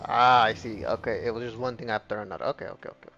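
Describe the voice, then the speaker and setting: A man doing casual gameplay commentary on a livestream, excitable and playful, blurting out reactions and sound effects. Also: on the low side, rapid-fire, dull audio, soft background music. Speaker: game streamer.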